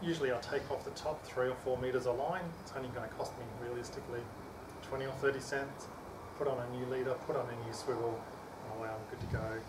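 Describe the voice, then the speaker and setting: A middle-aged man hosting a video, explaining gear to camera in a plain-spoken, measured way. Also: medium pitch, steady tone. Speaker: host of a kayak fishing video channel. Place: outdoors in a suburban backyard. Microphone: camera mic from a few metres. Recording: distant, echoey, noisy outdoor camera audio.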